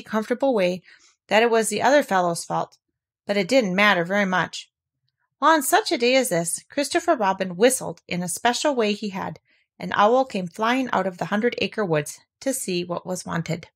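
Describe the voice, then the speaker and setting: A woman reading a children's storybook aloud, with expressive, varied delivery. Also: medium pitch. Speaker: reader of children's books. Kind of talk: scripted storybook read-aloud narration.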